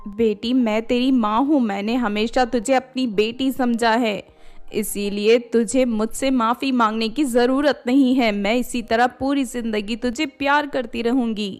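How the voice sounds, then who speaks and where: A woman's voice: a little high, fairly even intonation, a little slow, faint music behind, close to an everyday voice. A woman voicing a tender, long-suffering old stepmother in a cartoon moral story. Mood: loving, reassuring. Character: gentle, long-suffering poor old stepmother, loving and forgiving.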